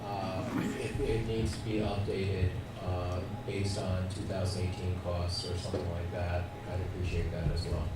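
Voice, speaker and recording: Deep, flat-voiced man in an echoey hall. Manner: halting, many fillers and restarts. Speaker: administrative law judge. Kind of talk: procedural hearing questions. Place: hearing room. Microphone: room recording from a distance. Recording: echoey hall recorded from far off, loud background noise.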